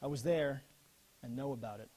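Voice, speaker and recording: A man playing an earnest First World War army officer, giving a solemn, measured dramatic reading of letters and verse. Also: medium pitch, mellow, lightly acted. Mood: sober.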